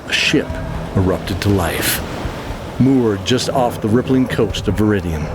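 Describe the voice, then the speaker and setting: A man narrating a scripted fantasy audio drama as a measured, theatrical storyteller. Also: fairly low pitch, noisy background, natural voice. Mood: dramatic, measured. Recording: clear music bed underneath.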